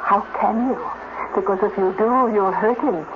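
A woman's voice: medium pitch, moderate pace, close to an everyday voice. An actress playing a bitter, vengeful woman scientist, insistent and cutting, in a 1950s radio drama. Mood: relentless. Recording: tinny, noisy 1950s radio recording.

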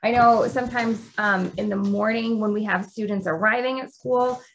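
A woman's voice: medium pitch, fairly even intonation, moderate pace. A woman who teaches preschool, talking informally in an online training workshop. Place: indoors on a video call. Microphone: video call.

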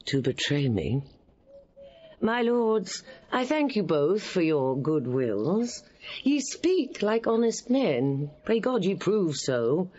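Proud wronged queen, shakespeare radio play, big swoops in pitch, measured, bit muffled. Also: sing-song, darkish tone, heavily acted. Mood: pleading. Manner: formal, measured, emphatic. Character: proud, wary wronged queen, grave and pleading. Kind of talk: Shakespearean radio drama acting.

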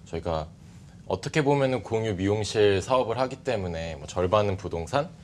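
A man in his thirties giving polite, measured explanations as an interview guest. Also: fairly low pitch, lively intonation, darkish tone, slight background noise. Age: 30s. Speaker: interview guest, startup CEO. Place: bright TV studio. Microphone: lapel mic.

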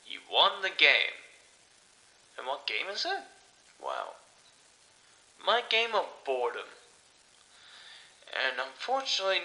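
A man's voice: high-pitched, sharp tone, heavily acted, low-quality recording. A man playing a bored, taunting villain in a horror audio drama, mock-polite, smug and theatrical.

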